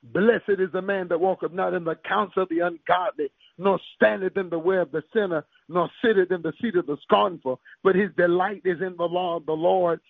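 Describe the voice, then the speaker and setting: High, gravelly preacher man over radio. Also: dry recording. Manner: emphatic call-and-response preaching, quoting scripture. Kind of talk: sermon. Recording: tinny phone-like radio broadcast.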